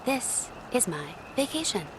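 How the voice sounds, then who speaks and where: Sassy genie over background noise. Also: female voice, fairly high pitch, big pitch swings, unhurried. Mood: flippant. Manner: dismissive, flippant, sardonic. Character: aloof, sassy female genie on vacation. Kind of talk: scripted video game dialogue.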